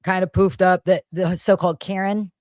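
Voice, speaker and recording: An American woman co-hosting a podcast, chatting casually in a blunt, slangy way and telling stories in an animated manner. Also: on the low side, normal speed, no echo, clean studio sound. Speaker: podcast co-host. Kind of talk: casual chat, animated storytelling.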